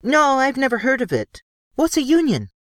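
A man voicing a cheerful, troublemaking female gnome in a high, bubbly, boisterous way for an audiobook. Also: wildly swooping pitch, moderate pace, a bit bright, no echo, clean studio sound, heavily acted. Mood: chatty. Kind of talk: audiobook character voice.

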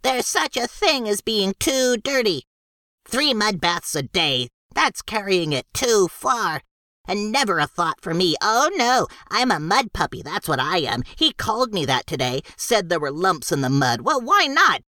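A man's voice: high-pitched, sing-song, a little slow, bright, forceful tone, no room sound, clean studio sound, pitched above the performer's own voice, heavily acted. A man voicing a small, put-upon male gnome servant who complains plaintively in an audiobook. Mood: plaintive grumbling. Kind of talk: audiobook character voice.

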